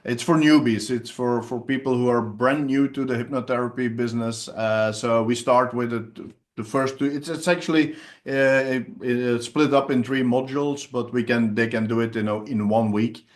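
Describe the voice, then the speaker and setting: A middle-aged man with a Belgian Dutch accent, a native Dutch speaker, chatting in an interview about his hypnotherapy work, pausing often with fillers and restarts. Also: mid-range voice, steady tone. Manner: hesitant, many fillers and restarts. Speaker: hypnotherapist and trainer. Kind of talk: casual interview chat.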